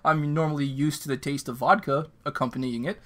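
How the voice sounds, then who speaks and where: A Canadian man co-hosting a podcast, chatting casually, ranting with animated, self-mocking energy. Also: on the high side, a little fast. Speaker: podcast co-host. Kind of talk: casual chat. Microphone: video call.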